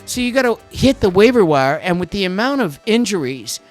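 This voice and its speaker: A middle-aged Canadian man hosts a podcast in a casual, rambling way, wandering off on tangents. His voice sits a little higher than most men's, and soft music plays faintly behind him. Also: big pitch swings. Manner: rambling, digressive, quick to go off on tangents. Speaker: podcast host. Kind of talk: casual chat.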